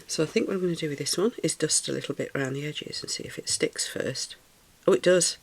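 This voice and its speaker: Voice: mid-range voice, fast talker, creaky, slight background noise. A British resin craft tutor who sounds a little snuffly and chats while working, thinking aloud and cooing over the materials. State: slightly snuffly, recovering from a cold. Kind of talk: casual hands-on craft tutorial.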